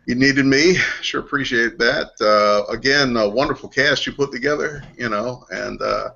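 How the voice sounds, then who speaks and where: A man chatting casually on a group call in a halting, polite way. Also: mid-range voice, creaky, pushed and bright. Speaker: voice actor, cast member. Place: online video call. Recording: dull lo-fi call audio.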